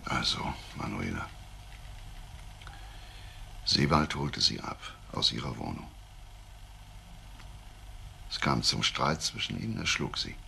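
An actor playing an understanding police investigator in a crime radio play, with a gravelly voice and measured questioning. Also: male voice, very deep voice, sing-song, sharp tone, slight background noise, exaggerated voice. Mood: sympathetic, probing.

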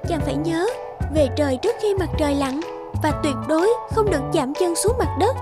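A woman playing a gentle, anxious and loving young fairy in an animated fairy tale. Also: high-pitched, brisk. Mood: tender. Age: young adult. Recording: music behind the voice.

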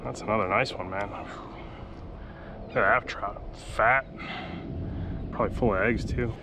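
A man's voice: fairly low pitch, slow. A man in his thirties giving laid-back, chatty commentary while fishing from a kayak on open water, recorded on an action camera. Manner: laid-back, chatty, excited exclamations over catches. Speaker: fishing vlogger. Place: kayak on open water under a pier, outdoors. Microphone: action camera mounted on kayak. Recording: outdoor wind and water noise. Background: water and wind ambience.

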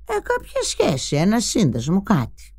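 A bossy old pensioner mother who grumbles, nags and scolds, as a woman plays her, in a story reading. Her voice rises and falls with lively swings in a middle register. The sound is close and dry. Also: mid-range voice, husky, no echo, heavily acted. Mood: prying. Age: older. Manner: scolding, chatty, complaining, with sudden outbursts. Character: older female pensioner mother, bossy, grumbling, sharp-tongued but doting. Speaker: narrator's mother in a dramatized memoir.

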